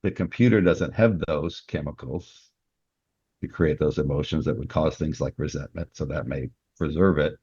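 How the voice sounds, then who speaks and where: A man with a gravelly, husky voice talking ideas through speculatively as an interview guest. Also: a bass voice, normal speed, a little mellow, no echo. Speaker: guest, novelist. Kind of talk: conversational interview discussion. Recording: dull, muffled dry recording.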